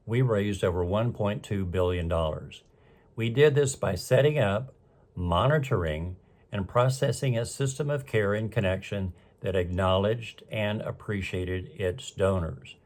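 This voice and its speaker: A man presenting a scripted talk that mixes storytelling and advice, in a formal, measured and deliberate manner. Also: fairly low pitch, animated, a little mellow. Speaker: presenter, fundraising consultant.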